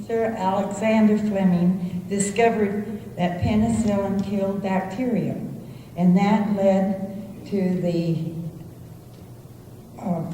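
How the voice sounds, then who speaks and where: An American woman in her seventies from northern Idaho giving a short prepared speech, halting over her words. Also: medium pitch, mellow. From northern Idaho, USA. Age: 70s. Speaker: forum participant. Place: large indoor hall. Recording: very echoey hall, faint noise.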